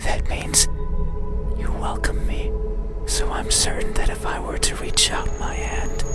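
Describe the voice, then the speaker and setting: A man voicing a lonely, melancholy, menacing creature of the night in a breathy whisper, in the style of an ASMR horror visual novel. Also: natural voice. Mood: pleading, eerie. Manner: slow, hushed, halting, brooding phrasing. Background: loud eerie music.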